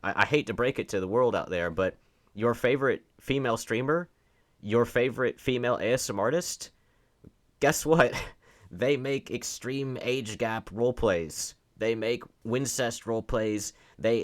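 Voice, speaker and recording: A man's voice: mid-range voice, unhurried. A man giving opinionated, off-the-cuff political commentary, emphatic and sardonic, stressing words for effect and posing rhetorical questions. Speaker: online commentator.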